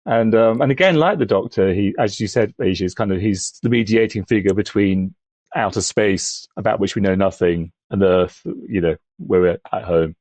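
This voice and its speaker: A man's voice: lowish voice, moderate pace, a little mellow, no room sound. A man discussing things casually but analytically on a podcast, in a thoughtful, bookish way with hedged phrasing.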